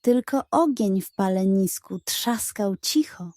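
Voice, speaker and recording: Female narrator for children's bedtime tales, slow-paced and warm in tone. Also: mid-range voice, expressive, no echo. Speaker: storyteller.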